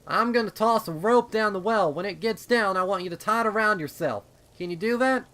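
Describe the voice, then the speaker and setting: A man's voice: sits high, a little fast, fairly bright tone, voice pushed up. A man voicing a determined, protective older sister mare, a folksy farm pony calling out urgently in a storybook reading.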